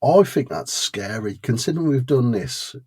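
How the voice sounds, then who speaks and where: A man's voice: mid-range voice, big pitch swings, moderate pace, darkish tone, slightly rough. A British man co-hosting a podcast, chatting casually with a friend. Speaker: podcast co-host. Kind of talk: casual chat between friends.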